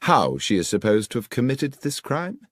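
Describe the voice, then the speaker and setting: A man reads a keen gentleman detective, his voice lifted a little higher and crisp, probing with dry irony. Lively and exact in a close, dry recording. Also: normal speed, no room sound. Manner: crisp, probing, dryly ironic. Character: sharp, precise male detective, middle-aged.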